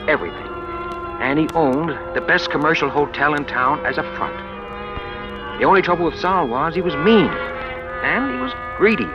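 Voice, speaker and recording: A man's voice: medium pitch, lightly acted. A man playing a sardonic, wisecracking driver for a crime boss, in a 1940s radio noir style. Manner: wisecracking, slangy, laconic. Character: wry, sardonic hired driver for a small-town crime boss. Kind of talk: 1940s radio noir acting with first-person narration. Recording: dull old radio recording, music behind.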